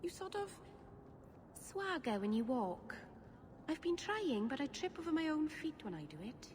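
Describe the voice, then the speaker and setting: An actress playing a timid, earnest young female elf who asks eager questions and apologizes quickly. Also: sits high, very animated, big-room sound, slight background noise. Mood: admiring. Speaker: game character, a young elf.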